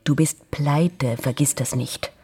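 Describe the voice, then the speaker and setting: Scheming unfaithful wife, very breathy husky voice, lowish, coaxing, bossy, self-assured, radio drama. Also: female voice, takes it slowly, warm tone, heavily acted. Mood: cool, manipulative. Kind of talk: radio crime drama acting.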